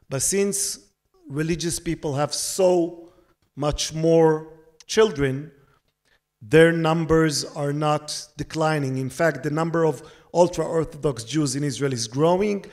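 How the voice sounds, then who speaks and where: A man on a discussion panel, answering in a measured, explanatory way with hesitant fillers. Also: on the high side, a little mellow. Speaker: panel speaker.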